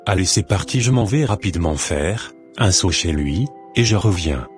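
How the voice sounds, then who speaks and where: Guy playing a righteous earnest church brother in a cartoon, really low voice, music under it. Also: expressive, moderate pace. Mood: pleading, resolute. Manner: solemn, indignant. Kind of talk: amateur cartoon monologue and dialogue. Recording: music behind the voice.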